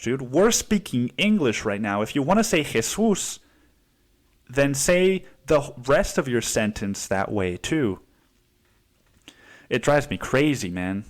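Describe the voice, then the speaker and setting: A young man from Cancun hosting a solo podcast, ranting in a profane, casual way and mimicking other people in a higher voice. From Cancun, Mexico. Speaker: podcast host.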